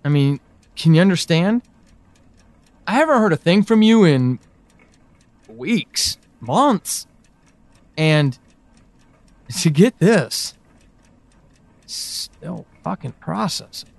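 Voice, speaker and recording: A man playing an anxious, pleading character in an audio drama monologue, speaking earnestly and haltingly. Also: on the high side, lively intonation, no room sound. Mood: hurt, hesitant. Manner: halting, earnest, with pauses and emphatic swings. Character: anxious, pleading man reaching out to a lost loved one.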